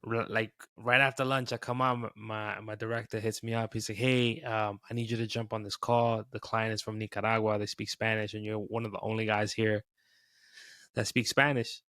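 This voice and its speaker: A man's voice: on the low side, level tone, normal speed, a bit bright, no room sound. A man in his thirties from New York City, with a Dominican-tinged New York accent, chatting casually as a podcast guest and often saying "bro". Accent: New York, Dominican-influenced. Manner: laid-back, peppers speech with "bro". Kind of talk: casual chat.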